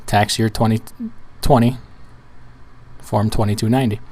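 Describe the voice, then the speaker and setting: A man with a hoarse, weak voice walking viewers through filling out a form on screen, in a casual, rambling way with restarts and fillers. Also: on the low side, fairly even intonation, moderate pace, a little mellow. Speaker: trucking channel host. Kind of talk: screen-share tutorial walkthrough.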